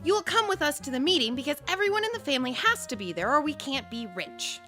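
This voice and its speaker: A woman's voice: sits high, big pitch swings, fast, loud music behind the voice. A woman playing a spiteful, bossy, melodramatic village aunt in a comic audio drama, stagey and reproachful. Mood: scolding.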